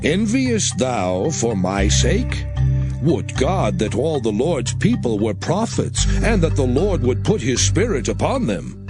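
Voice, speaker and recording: A man's voice: mid-range voice, big pitch swings, slow. An actor playing a burdened male prophet in a dramatized scripture reading, speaking with theatrical, impassioned pleading. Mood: earnest. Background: music.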